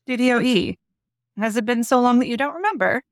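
A woman's voice: a little high, animated, brisk, no room sound, studio quality. A woman co-hosting a podcast with casual banter and light skit lines. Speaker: podcast co-host.

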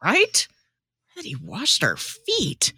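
A woman plays a chipper young male disciple in a sitcom radio play. The voice is bright and very animated in pitch, dry and close, with blunt, competitive, casual buddy phrasing. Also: takes it slowly, gravelly, breathy edge, no room sound, exaggerated voice. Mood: chatty. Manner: peppy, casual, teasing buddy talk.

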